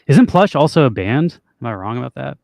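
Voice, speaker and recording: A young man with a low, raspy voice, chatting loosely and swearing casually as a podcast co-host. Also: sing-song, a little fast, a little mellow, no echo. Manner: loose and profane, trails off with fillers. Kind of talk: casual chat with guitar and singing.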